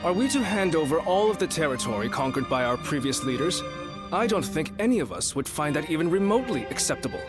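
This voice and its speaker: An actor playing an indignant, challenging officer of an ancient kingdom in a game cutscene, formal and confrontational. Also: male voice, on the high side, very animated, fast talker. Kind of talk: video game cutscene acting. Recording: loud orchestral music behind the voice.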